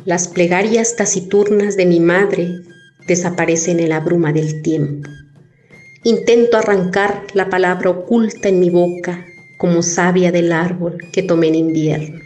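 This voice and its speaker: A woman poet from Chiapas, Mexico, whose first language is Zoque, reciting her poems in a slow, measured way. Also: lowish voice, fairly even intonation, a little mellow. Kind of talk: poetry recitation.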